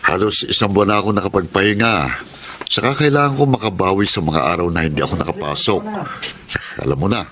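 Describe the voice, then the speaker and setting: A man playing a deep-voiced gentle father. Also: fast talker, crowd noise, close to an everyday voice. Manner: earnest, fatherly, softly advising. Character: hardworking gentle middle-aged father. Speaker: father of college students. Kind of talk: radio drama acting.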